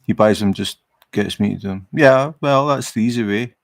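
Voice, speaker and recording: A middle-aged Scottish man chatting casually on a livestream while he crafts, relaxed and rambling, with many fillers and restarts. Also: fairly low pitch, moderate pace, no room sound, clean studio sound. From Scotland. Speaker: livestream host. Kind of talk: casual chat while crafting, reading viewer comments.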